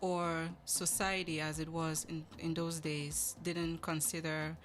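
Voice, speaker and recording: Female interview guest, low voice, Cameroonian accent, conversational delivery. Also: level tone, slow. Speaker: podcast guest, charity representative.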